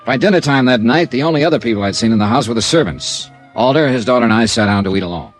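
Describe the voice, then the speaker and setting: A man's voice: mid-range voice, animated, fast talker, soft background music, lightly acted. An actor playing a wry hardboiled insurance investigator, narrating his case in a clipped, dramatic vintage radio style. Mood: relaxed, wry. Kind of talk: 1950s radio detective drama, first-person narration.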